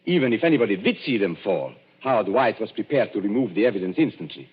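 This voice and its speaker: A shrewd gentleman detective. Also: lowish voice, slightly rough. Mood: calm, triumphant. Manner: measured, lively, commanding. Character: shrewd foreign gentleman detective unmasking a killer. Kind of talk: 1940s radio acting. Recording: muffled 1940s radio broadcast.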